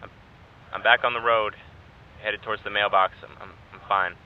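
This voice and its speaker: A man acting a wry, hesitant test subject in an audio drama, speaking haltingly and naturally. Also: on the low side, fairly even intonation, natural voice. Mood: nervous, reassuring. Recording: dull lo-fi microphone, faint noise.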